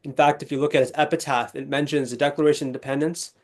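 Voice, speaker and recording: A young man from Texas, a legal scholar, speaking as a guest in an interview, articulate and measured with small restarts. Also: a little high, level tone, a little hiss or hum. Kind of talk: conversational interview storytelling.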